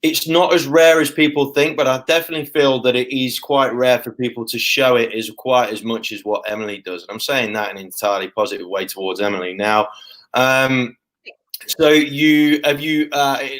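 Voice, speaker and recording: A man's voice: medium pitch, fairly even intonation, moderate pace, fairly bright tone, rough recording. A man hosting a live interview show, chatty and full of fillers, heaping praise on his guest. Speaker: host.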